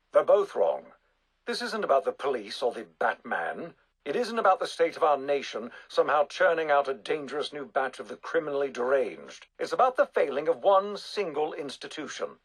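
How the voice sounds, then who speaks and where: An actor playing a zealous, self-assured public figure, speaking pompously and emphatically in a TV debate. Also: male voice, mid-range voice, big pitch swings, normal speed, slightly rough. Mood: insistent. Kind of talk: broadcast debate speech.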